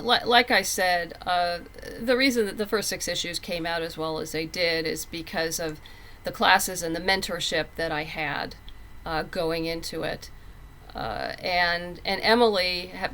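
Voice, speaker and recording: A woman's voice: fairly low pitch, pushed and bright. A woman speaking as a guest writer in a casual podcast interview, often restarting and repeating words as she goes. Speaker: guest comic book writer.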